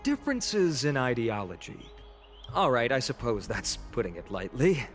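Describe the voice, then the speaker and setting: A thoughtful companion in a fantasy game acted by a man. His mid-pitched voice is measured, courteous and kind. Faint music runs underneath. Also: very animated, lightly acted. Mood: calm sympathy. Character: calm, kindly, thoughtful male companion.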